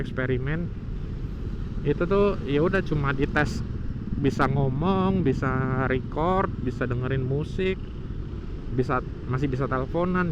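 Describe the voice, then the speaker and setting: A man's voice: mid-range voice, dull audio, noisy background. A man explaining things informally to viewers in a casual motovlog, thinking aloud as he goes.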